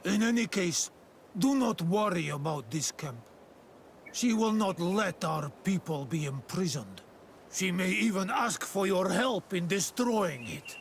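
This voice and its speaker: A man's voice: high voice, animated, unhurried, slight background noise. A man playing a proud, loyal native island warrior and ally in a video game. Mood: earnest, grateful. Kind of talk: video game cutscene acting.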